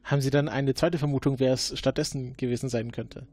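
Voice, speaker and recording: A man in an improvised role-play, questioning formally and probingly as an investigator, often halting mid-sentence. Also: mid-range voice, steady tone, a little mellow. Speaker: player acting as investigating agent.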